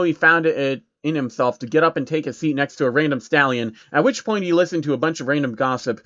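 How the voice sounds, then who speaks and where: Male story narrator, medium pitch, scripted comic reading, dry and slightly muffled sound. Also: fairly bright tone, dry recording.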